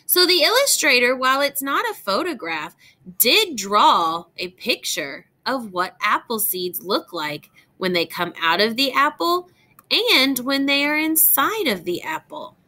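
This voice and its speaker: A woman teacher reading a picture book aloud to young children, expressive and clearly enunciated, pausing to explain and ask questions. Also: sits high.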